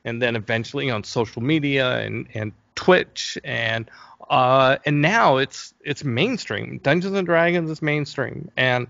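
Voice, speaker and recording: A man's voice: medium pitch, unhurried, vocal fry, fairly bright tone, no room sound, lo-fi sound. A middle-aged man chatting casually as an interview guest, thinking aloud in a hesitant, rambling way. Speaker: interview guest, game company founder.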